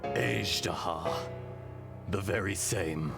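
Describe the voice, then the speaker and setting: An actor playing a calm, ancient dragon spirit in a human body for a video game, speaking gravely and formally in a husky voice. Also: male voice, low-pitched, slow, over loud music, exaggerated voice. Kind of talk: dramatic video game voice acting.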